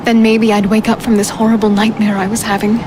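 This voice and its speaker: A woman's voice: medium pitch, flat delivery, fast, very noisy, lightly acted. A woman playing a defiant human fighter, telling her ordeal in first person as dramatic fantasy storytelling. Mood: tense, reflective. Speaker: narrator and heroine.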